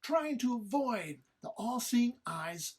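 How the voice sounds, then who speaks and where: A woman performs a disdainful, scolding companion in an audio comedy. The husky voice is arch and formal, full of dry sarcasm and exasperation. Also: on the high side, lively intonation, slow pace, a little mellow, heavily acted. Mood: exasperated. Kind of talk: informal script read-through of audio comedy.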